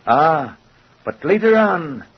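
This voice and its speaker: An actor playing a cruel, sardonic middle-aged doll-maker in an old radio horror drama, with a theatrical, dramatic delivery. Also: male voice, fairly high pitch, sing-song, slow pace. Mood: intense, haunted. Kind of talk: vintage radio horror acting. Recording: muffled, noisy old radio recording.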